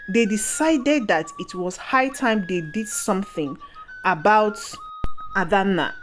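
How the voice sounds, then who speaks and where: A woman narrating a scripted folktale in a deliberate, phrase-by-phrase storytelling manner. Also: mid-range voice. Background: background music.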